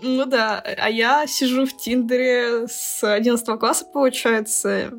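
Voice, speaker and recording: A woman's voice: on the high side, steady tone, moderate pace. A woman of twenty co-hosting a podcast in casual, candid chat, with slangy wording and a teasing streak. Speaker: podcast co-host. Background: lo-fi music.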